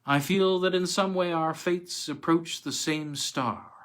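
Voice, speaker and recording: A man's voice: a little high, measured pace, pitched above the performer's own voice, close to an everyday voice. A man voicing a coy, seductive and faintly sinister noblewoman in a storybook reading, purring and sighing. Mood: coy, promising.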